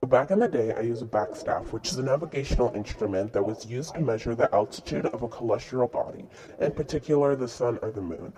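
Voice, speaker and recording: A man's voice: medium pitch, fast talker, crowd noise, lightly acted, rough recording. A man playing a boastful dead explorer in a mock interview, reciting his answers plainly. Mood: lecturing. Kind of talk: school-project mock interview.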